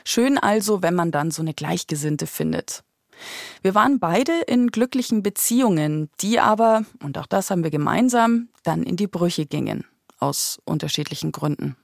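Low-voiced woman narrating a podcast, dry and close. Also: lively intonation, moderate pace, gravelly, no echo. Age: 30s. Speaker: podcast host.

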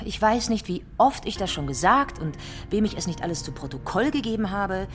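A woman's voice: mid-range voice, sing-song, fairly quick, background noise, lightly acted. An actress in a radio play playing a defensive, exasperated divorced mother who is being questioned and speaks emphatically. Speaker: actor in a radio drama.

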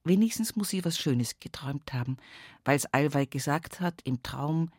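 A woman's voice: low-pitched, expressive, normal speed, no room sound. An older Bavarian village woman, close and dry. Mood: tender, aching. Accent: Upper Palatinate Bavarian. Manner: folksy, earthy dialect storytelling with emphatic repetitions. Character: older rural village woman, pious, plain-spoken, reminiscing. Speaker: radio play actor. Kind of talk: radio play monologue.

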